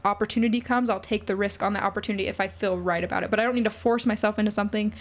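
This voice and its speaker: A woman's voice: medium pitch, fairly even intonation, racing. A woman in her twenties co-hosting a podcast, chatting casually in a rambling way full of fillers and restarts. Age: 20s. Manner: rambling, filler-heavy, self-interrupting. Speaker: podcast co-host. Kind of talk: casual chat.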